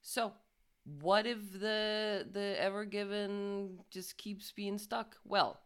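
A woman's voice: mid-range voice, little pitch movement, takes it slowly, smooth. A woman co-hosting a podcast, reading news casually, stumbling over words, swearing and laughing often. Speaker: podcast co-host.

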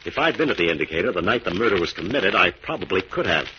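An actor playing a calm, serious, analytical crime fighter in a 1940s radio adventure, explaining things in a measured way. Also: male voice, low-pitched, exaggerated voice. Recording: muffled, noisy 1940s radio recording.

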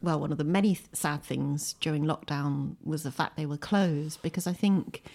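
A woman's voice: low-pitched, moderate pace, creaky, darkish tone. A middle-aged English woman, a writer, chatting informally and enthusiastically as an interview guest at home. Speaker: poet and writer, podcast guest. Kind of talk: conversational interview. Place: at home, indoors.

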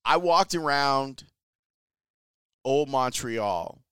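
A man's voice: medium pitch, expressive, very slow, bright, forceful tone, clean tone, dry recording, studio quality. A man casually telling a travel story in a chatty, enthusiastic way, using slang. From Chicago, US. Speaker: sports reporter.

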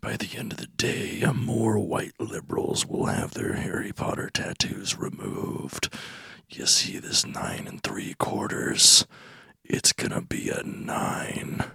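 A man playing an exasperated American book publisher in a comedy sketch, stern and scolding in a breathy, hoarse half-whisper. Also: medium pitch, sing-song, slow, fairly bright tone, heavily acted.

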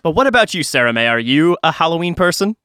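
Male podcast host, 31, higher-pitched and crisp, dry close sound, animated, self-deprecating casual chat. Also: fast talker, no echo.

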